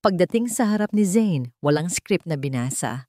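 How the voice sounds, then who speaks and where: A woman's voice: on the low side, expressive, moderate pace, warm tone, no room sound, studio quality. A woman narrating a dramatic romance story in a sentimental, emphatic storytelling manner.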